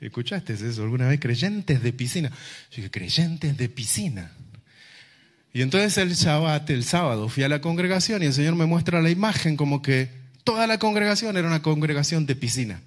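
Argentine man preaching in storyteller style. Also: on the high side. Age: middle-aged. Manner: animated storyteller, rhetorical questions, dramatic pauses and repetition. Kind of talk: sermon with personal storytelling to a congregation. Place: large indoor church auditorium, on stage. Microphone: handheld microphone.

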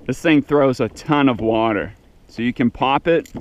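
A man in his thirties giving quiet, low-key running commentary while fishing from a kayak on open sea, recorded on an action camera. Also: normal speed. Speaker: fishing vlogger. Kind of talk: casual first-person narration while fishing. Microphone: action camera mounted on the kayak.

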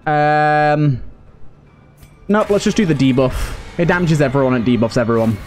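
A man's voice: a little high, moderate pace, a little mellow. A man giving casual let's play commentary, muttering to himself as he thinks through his moves. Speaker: gaming video host. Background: game music.

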